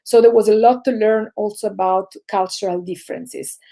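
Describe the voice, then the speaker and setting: A woman of about forty from southern Italy with an Italian accent, giving a prepared motivational talk to students in a reflective way, stressing the start of phrases and trailing off softly. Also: mid-range voice, fairly even intonation, normal speed, creaky. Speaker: speaker sharing career lessons with students.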